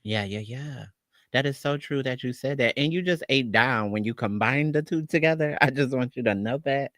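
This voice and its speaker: Bright, dry-sounding man hosting a stream. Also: mid-range voice, normal speed, dry recording. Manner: slangy, affirming, rambling questions. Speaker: host. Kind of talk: casual interview.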